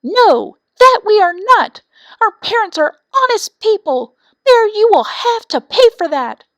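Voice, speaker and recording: Shrill, indignant baby wrens. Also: female voice, big pitch swings, a little slow, fairly bright tone, no room sound, heavily acted. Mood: furious screaming. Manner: screaming protests, stubborn and demanding. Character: shrill, indignant young wren chicks. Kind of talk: storybook character voice.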